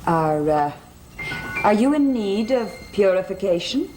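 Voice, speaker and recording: A woman's voice: mid-range voice, lively intonation, a little slow, noisy background, natural voice. An actress playing a genteel, inquisitive and flirtatious lady guest in a gothic television soap. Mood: probing.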